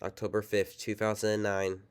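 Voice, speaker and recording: A man's voice: on the low side, completely flat delivery, moderate pace, clear, resonant tone. A man giving casual, off-the-cuff commentary as host of a reaction video.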